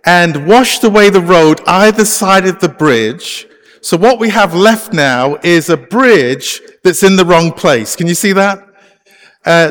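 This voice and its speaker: A middle-aged Englishman preaches to a congregation in an easy, conversational way, often pausing on small fillers. His voice sits a little high for a man. The sound carries a long reverberant hall tail from the church around him. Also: a bit bright. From England. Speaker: pastor. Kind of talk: sermon. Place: church sanctuary.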